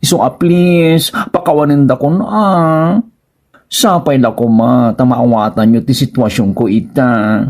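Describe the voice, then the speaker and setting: Man acting plaintive young transgender character, Ilocano accent, slow, drawn-out, mid pitch, pleading, radio drama. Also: steady tone, darkish tone, natural voice. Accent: Filipino, Ilocano. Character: pleading transgender adult child of stern father.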